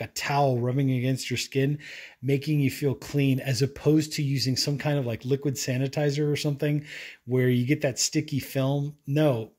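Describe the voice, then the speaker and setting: Man, casual product review, rambling and chatty, mid-pitched voice, indoor tabletop. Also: a little mellow. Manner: rambling, chatty, many fillers and restarts.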